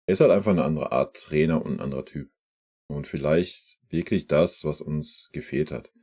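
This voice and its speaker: Deep-voiced man chatting casually. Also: normal speed, dark tone. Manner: chatty, uses fillers like "ja" and "ne". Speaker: fan channel host reacting to a press conference. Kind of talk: casual reaction commentary.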